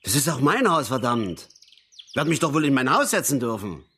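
An actor playing a stubborn, hapless working-class father who blusters and repeats himself in a radio drama, with a husky voice. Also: medium pitch, very animated, moderate pace, bright, forceful tone, slight background noise. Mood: indignant. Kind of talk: naturalistic German radio drama acting.

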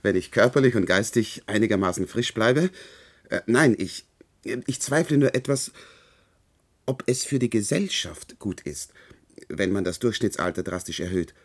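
A man's voice: lowish voice, expressive, moderate pace. A male actor playing a hesitant, doubting man in a German radio play, speaking in a polite, questioning way. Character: hesitant, doubting man recalling his youth as research subject.

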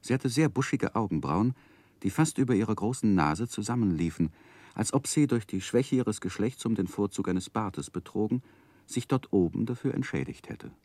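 Wistful grown man narrating his childhood for radio play: deep voice, measured, close dry studio. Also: expressive, darkish tone, no echo. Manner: measured, reflective. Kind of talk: scripted literary narration, 1960s German radio drama.

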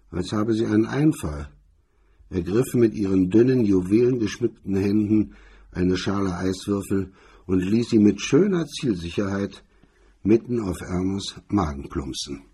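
A man with a husky, raspy voice reading a hardboiled crime story as a narrator in a measured, literary storytelling style. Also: on the low side, lively intonation, mellow.

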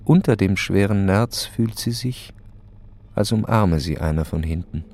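A man's voice: fairly low pitch, measured pace, a little mellow, a little hiss or hum. A man narrating a radio play in terse, matter-of-fact fragments.

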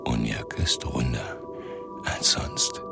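An actor voicing a male Arctic hunter in a radio play, in terse, gravelly, partly whispered murmurs. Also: extremely low pitch, takes it slowly, full character voice. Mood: hushed, brooding. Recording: distant studio voice over music. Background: music.

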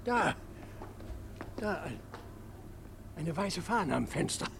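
High-voiced, worried pastor. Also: male voice, big pitch swings, slow pace, creaky, fairly bright tone, slight background noise, heavily acted. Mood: nervous. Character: timid, sober, worried small-town pastor. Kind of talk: German radio drama acting.

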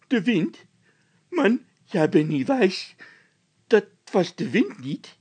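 A man's voice: sits high, big pitch swings, slow pace, darkish tone, slightly rough, voice pushed up, exaggerated voice. A man voicing a whiny, jittery workman in a children's audiobook, pushy, easily frightened and full of exclamations. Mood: agitated. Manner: insistent, exclamatory.

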